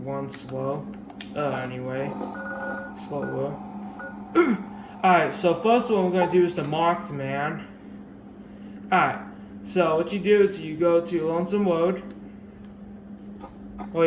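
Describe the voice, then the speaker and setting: Raspy man rambling over gameplay. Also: on the high side. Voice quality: slightly raspy. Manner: rambling, many fillers and restarts. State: sore, dry throat. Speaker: gaming video creator. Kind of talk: casual game tutorial commentary. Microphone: camera mic. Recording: echoey room with background noise.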